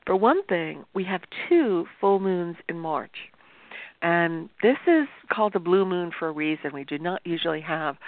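A woman astrologer hosting a show, talking to viewers in a chatty, explanatory way, with a sore throat that makes her voice gravelly. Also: lowish voice, no room sound. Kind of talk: informal talk-show monologue. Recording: tinny phone-like dry sound.

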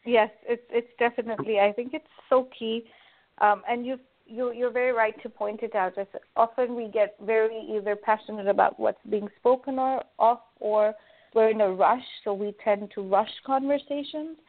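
A woman's voice: fairly high pitch, fairly even intonation, moderate pace. A woman from Kenya, a magazine editor and life coach, talking as an interview guest, earnest and eager, with frequent ums. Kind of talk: conversational interview. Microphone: phone call. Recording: tinny phone line.

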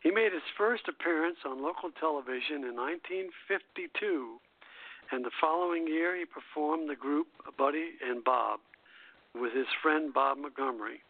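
A man hosting a radio show, reading prepared history notes aloud in a halting way and stumbling over words. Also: fairly high pitch, dry recording. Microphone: phone call-in line. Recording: tinny phone line.

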